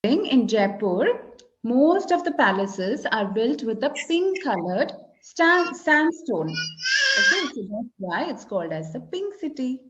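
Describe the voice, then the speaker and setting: A woman's voice: sits high, very animated, slow, highs cut off, noisy background. Indian woman teaching on a video call. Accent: Indian English. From Kerala, India. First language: Malayalam. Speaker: English conversation teacher. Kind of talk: casual tutoring chat.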